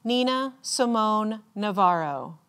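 A woman reads out names as a commencement announcer, in a formal ceremonial style with measured, deliberate enunciation and pauses. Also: mid-range voice, animated, fairly bright tone.

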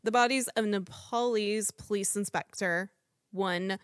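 A woman with a middle-pitched voice reads her true crime podcast script, stopping now and then to apologize for stumbling over foreign names. The sound is dry and close, with no room around it. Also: unhurried, clear, resonant tone, no echo. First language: English. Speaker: podcast host.

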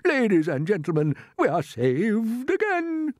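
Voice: mid-range voice, wildly swooping pitch, unhurried, a little mellow, no room sound, clean studio sound, pitched above the performer's own voice. A performer reading a storybook, voicing an affectionate old male grasshopper with grand, polite, exclamatory speech. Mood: delighted. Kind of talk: storybook character voice.

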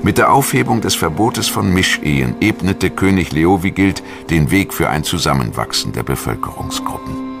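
A man reading a scripted history documentary narration in a measured, articulate storytelling manner. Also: deep voice, lively intonation, creaky. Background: music.

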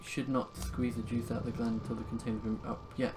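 A young man hosts a casual gaming session in banter full of mock insults and swearing. His mid-pitched voice sits in a clearly noisy room with keyboard clatter. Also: level tone, fast, far from the mic. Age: young adult. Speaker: gaming video host. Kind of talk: casual co-op gameplay commentary. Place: indoor room at a desk.

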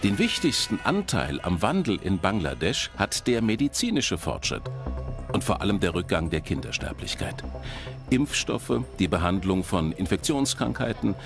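Male documentary narrator, fairly low and lively in pitch, scripted read over a music bed. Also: moderate pace, vocal fry.

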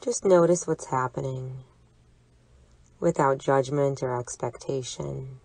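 A woman leading a guided imagery relaxation exercise, speaking in a soothing, deliberate, gently instructive way. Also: rumbling low, vocal fry, no echo. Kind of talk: guided imagery meditation narration.

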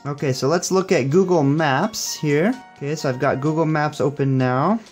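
A man's voice: on the high side, normal speed, faint music behind. A young man giving a casual, upbeat hands-on tech demo and tutorial in a conversational, explanatory way. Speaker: tech reviewer and presenter.

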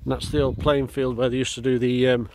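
A man giving casual walkaround commentary as a vlogger, halting and thinking aloud as he goes. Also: medium pitch, flat delivery, darkish tone. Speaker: vlogger exploring an abandoned building. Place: outdoors, walking around a building. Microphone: handheld camera mic. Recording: outdoor ambient noise.

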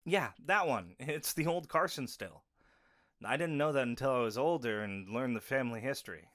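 A man's voice: mid-range voice, lively intonation, moderate pace, fairly bright tone, dry recording, studio quality, natural voice. A man playing an easygoing, wry young werewolf in an audio drama, with a casual, conversational delivery. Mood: casual, reminiscing. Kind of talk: audio roleplay drama acting.

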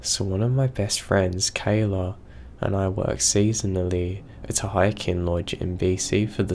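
A man's voice: lowish voice, creaky, a little hiss or hum. A man reading a first-person horror story aloud, sounding unwell, with his voice a bit off. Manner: slow, steady storytelling delivery. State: unwell, voice affected.

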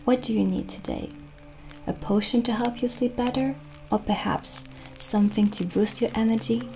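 A young woman playing a gentle, reassuring witch shopkeeper in a soft-spoken, soothing, unhurried ASMR roleplay. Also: a little high, steady tone, close to an everyday voice. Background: soft music.

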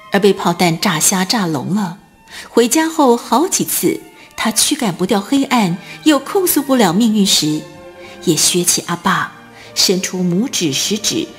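A woman narrating a radio drama as scripted storytelling, with expressive, deliberate phrasing. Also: medium pitch.

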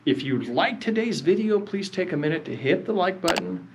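A man's voice: high-pitched, animated, normal speed, gravelly, noisy background. A middle-aged man closing out his video, talking directly to camera in a friendly way. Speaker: video host. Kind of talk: channel outro. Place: small indoor room.

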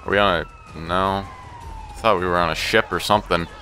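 Bright-voiced man doing game commentary. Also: deep voice, normal speed. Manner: excitable, profane, talks to himself and the game. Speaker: gaming channel host. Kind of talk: casual let's play commentary.

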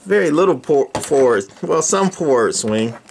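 An older man casually demonstrating equipment in a folksy, rambling, halting way as host. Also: fairly high pitch, animated, vocal fry, slight background noise. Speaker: radio repair channel host. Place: indoor electronics workshop.

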